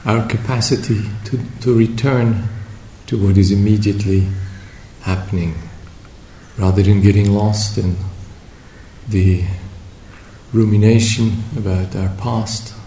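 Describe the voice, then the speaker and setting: A man leading a guided meditation as a teacher, speaking reflectively in short phrases with long pauses between them. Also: fairly low pitch, steady tone, warm tone. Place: indoor room at a meditation retreat. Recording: roomy echo with faint background noise.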